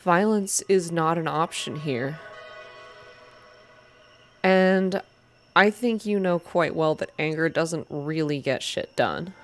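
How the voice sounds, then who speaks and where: Woman doing calm earnest protest leader guy from a story game, persuasive, faint music. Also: low voice, slow pace, pauses often.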